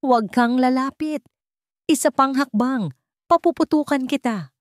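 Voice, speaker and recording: A woman's voice: high-pitched, unhurried, dry recording, clean studio sound, voice pushed up. A Filipino woman voicing a bitter, menacing male villain in a dramatic story, with a threatening manner. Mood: shouting threat.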